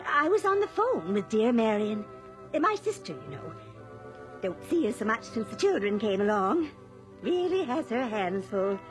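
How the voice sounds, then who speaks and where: A lonely, chatty old village lady in a radio drama. Her voice is high and swings up and down as she rambles in a cheerful, fluttery, forgetful way. Music plays softly behind her. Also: big pitch swings, normal speed.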